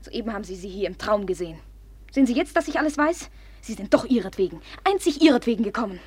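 An actress playing a proud, impulsive, jealous young woman of good family in a classic German radio play, her manner volatile and demanding, her voice breathy. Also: medium pitch, very animated, quick pace, darkish tone, no echo. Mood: jealous, accusing. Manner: imperious, volatile, swinging from confiding to accusing.

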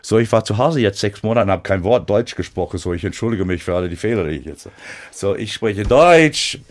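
Brief: American guest speaking careful, halting German, low-pitched, many restarts. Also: male voice, animated. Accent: American English. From United States. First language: English. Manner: halting, searching for German words, frequent restarts. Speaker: guest pianist. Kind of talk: radio interview.

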